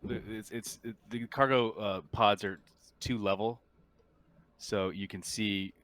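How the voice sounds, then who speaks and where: A man improvising narration as game master of a tabletop game over group voice chat, halting and often restarting his phrases. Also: lowish voice, steady tone, fairly bright tone.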